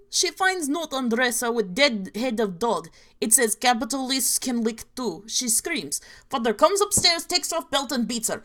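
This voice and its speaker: Woman doing a deadpan mock-Soviet storyteller. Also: on the high side. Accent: mock Russian. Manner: deadpan, clipped broken grammar. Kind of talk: reading creepy stories aloud.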